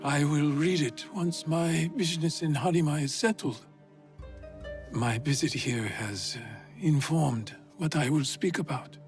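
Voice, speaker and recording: Game role: solemn feudal lord, probing and measured, fairly high expressive voice, music underneath. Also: male voice. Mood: wistful. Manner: measured, formal, deliberate. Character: grave, probing feudal Japanese lord, reflective and regretful. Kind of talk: video game cutscene acting.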